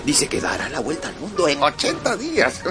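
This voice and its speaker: A man's voice: on the high side, wildly swooping pitch, brisk. An actor playing an eager, talkative and deferential manservant in a radio drama, often repeating his words. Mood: chatty, confiding. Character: eager, chatty, deferential manservant of a gentleman traveler. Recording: music behind the voice.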